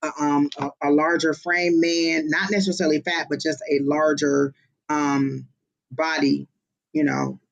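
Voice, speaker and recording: A psychic medium giving live-streamed readings in a casual, expressive way, often exclaiming and pausing on fillers. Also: medium pitch, vocal fry, dull audio.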